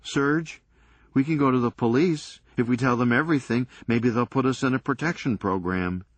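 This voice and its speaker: A man's voice: mid-range voice, normal speed, dry recording, pitched above the performer's own voice, lightly acted. A man doing a frantic, panicky young guy. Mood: pleading. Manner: frantic, pleading, breathless. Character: panicky nervous young man, near tears.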